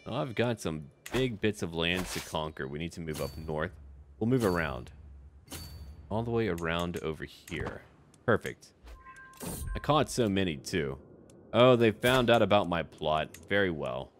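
A man's voice: low voice, lively intonation, a little slow, a little hiss or hum. A man giving casual game commentary with light role-play, musing aloud in short, clipped remarks. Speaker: gaming YouTuber. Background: background music.

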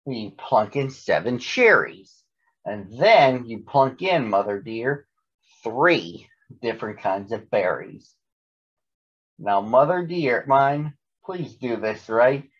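A man's voice: medium pitch, big pitch swings, slow, muffled recording. A man voicing a smug, chatty male storybook monster who gabs breezily and is devoted to his mother. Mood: breezy, instructing. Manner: gabbing and jabbering, breezily dismissive.

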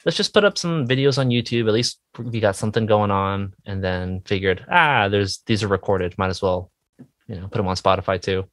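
Low-voiced man chatting, hesitant and self-deprecating. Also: brisk, a bit bright, dry recording. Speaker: musician guest, indie rock songwriter. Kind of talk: casual interview chat.

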